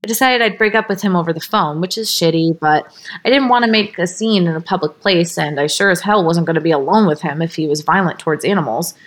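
A woman's voice: lowish voice, fast talker. Informal woman reading a story aloud. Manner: expressive, breaks character, giggly slips and asides. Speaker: podcast host reading stories aloud.

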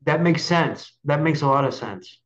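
Casual, halting male host, slightly high-pitched. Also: lively intonation, highs cut off. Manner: halting, repetitive, frequent "like" and "right?". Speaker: show host and interviewer. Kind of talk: casual chat.

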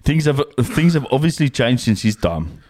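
A man's voice: medium pitch, big pitch swings, normal speed, a little mellow, no room sound, clean studio sound. A young Australian man co-hosting a podcast, chatting in crude, joking banter and laughing often. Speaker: podcast co-host. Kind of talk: casual banter.

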